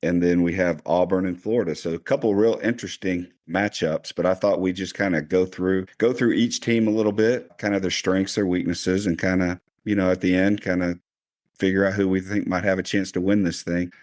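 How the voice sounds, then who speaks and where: A man with a cold and a raspy voice, chatting casually as a podcast host about basketball. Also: low voice, brisk. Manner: relaxed, rambling, many fillers. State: hoarse from a cold. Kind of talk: casual sports chat.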